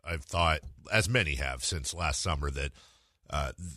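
A man with an extremely deep voice, dry and free of echo. He hosts sports talk conversationally, working up to each point in deliberate, halting steps. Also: bright, forceful tone. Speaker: sports radio host.

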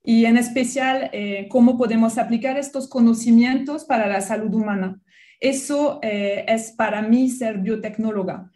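A woman from France with a French accent, a research biologist being interviewed on the radio, friendly and quick to laugh. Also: fairly high pitch, fairly even intonation, dark tone. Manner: friendly, laughs easily, some restarts.

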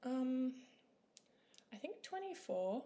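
A woman's voice: high voice, very animated, takes it slowly, dark tone. A woman in her twenties giving casual interview answers as an author guest, candid and thinking aloud. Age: 20s.

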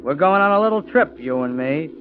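An actor playing a terse, coolly insistent, menacing driver in a 1940s radio thriller. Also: male voice, a little high, animated, brisk, faint music behind, lightly acted. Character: smooth, menacing male driver abducting a detective. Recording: noisy, muffled 1940s radio recording.

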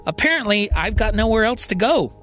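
A man's voice: sits high, very animated, a little fast, fairly bright tone, soft background music, voice pushed up. A man voicing an eccentric, cheerful older male expert in a science-fiction audio serial, chatty and unflappable with a slight stammer. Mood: mild, accepting.

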